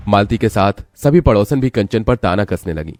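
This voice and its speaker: A man narrates a scripted story. His voice is fairly low and mellow. The recording is dry and close, with no room sound. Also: lively intonation, normal speed, no room sound, faint music behind.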